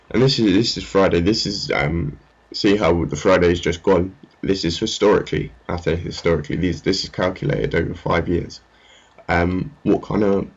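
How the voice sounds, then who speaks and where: A man's voice: low-pitched, creaky, slightly rough, highs cut off. A man giving casual, unscripted commentary on a screen as a market analyst, hesitant and rambling. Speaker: trading analyst presenting his market update. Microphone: amateur screen-recording voice-over.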